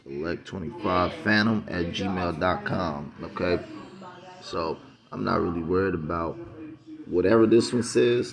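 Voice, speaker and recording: A man's voice: deep voice, creaky. A man talking straight to camera in a rambling, insistent monologue, repeating himself for emphasis. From United States. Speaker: vlogger.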